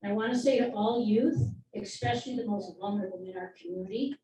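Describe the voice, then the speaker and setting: A middle-aged woman from Michigan giving an earnest, steady public comment at a community meeting. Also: medium pitch, quick pace. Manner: earnest, steady advocacy speech. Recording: echoey room, far from the mic, faint noise.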